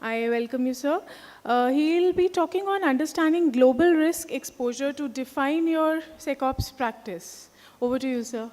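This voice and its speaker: A woman's voice: sits high, moderate pace, a little mellow. A woman host introduces the next speaker formally and politely. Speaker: event host. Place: large conference hall. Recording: long hall reverb from off mic.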